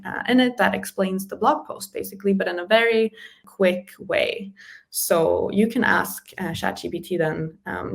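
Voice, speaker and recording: A woman's voice: fairly low pitch, vocal fry. A woman, a communication specialist, chatting in an interview and explaining practical tips with small laughs between points. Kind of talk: casual interview chat giving practical tips.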